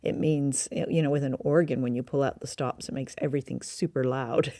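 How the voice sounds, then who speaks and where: A woman's voice: rumbling low, fairly even intonation, a little fast, warm tone, no echo, clean studio sound. A woman co-hosting a review show in casual, informative conversation. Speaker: co-host of an old-time radio review show.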